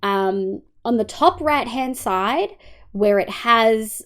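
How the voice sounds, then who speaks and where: A young Australian woman with a congested, nasal voice, chatting casually to her stream audience. Her voice sits high and swings widely in pitch as she explains things and hums to herself. She talks in a chatty, easygoing way. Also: expressive, slow pace, a bit bright. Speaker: livestreamer. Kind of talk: casual livestream chat and walkthrough.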